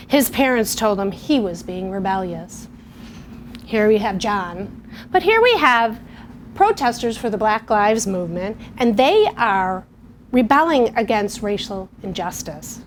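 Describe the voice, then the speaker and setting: A middle-aged woman teacher, giving a lesson and reading a story aloud to young children in a patient, clear way. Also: mid-range voice, expressive, measured pace, a little hiss or hum. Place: television studio or classroom.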